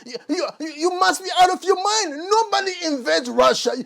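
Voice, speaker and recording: Very high-voiced earnest man vlogging. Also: lively intonation, moderate pace, gravelly. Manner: earnest, warm and effusive, with frequent fillers and restarts. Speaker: motivational YouTuber. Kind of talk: casual monologue to camera.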